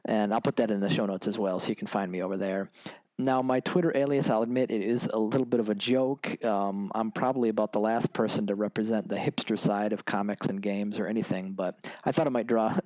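A man hosting a casual solo podcast, his voice gravelly and nasal from allergies, chatty and relaxed with many fillers. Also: medium pitch, no room sound. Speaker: podcast host. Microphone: basic home microphone. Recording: dry, poor-quality home microphone.

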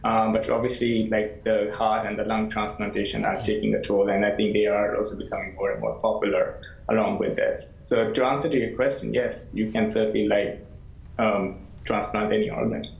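A man's voice: on the low side, little pitch movement, fairly quick, creaky, darkish tone. A middle-aged man from Pakistan, a transplant physician, explaining loosely over a video call. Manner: loose, explanatory, frequent fillers. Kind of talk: answering interview questions. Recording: roomy video call sound.

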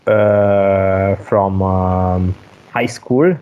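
A man's voice: sits low, fairly even intonation, extremely slow, clean tone, narrow, tinny audio. A man in a casual chat, hesitant and full of fillers as he searches for words. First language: Hungarian. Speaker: guest.

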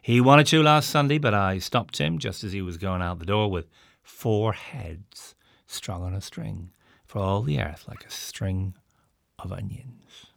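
A man voicing a wry older male innkeeper who talks in a folksy, rustic drawl, often low and hushed. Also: a little slow, gravelly, dry recording, clean studio sound. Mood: teasing. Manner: rustic drawl with dropped words.

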